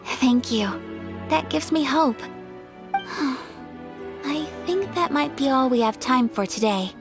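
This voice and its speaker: Gentle, high-voiced young noblewoman. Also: animated, heavily acted. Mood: hopeful. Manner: polite, measured, tender, formal. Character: refined young noblewoman, gentle, earnest and wistful. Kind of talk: video game character acting. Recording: music playing behind the voice. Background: game background music.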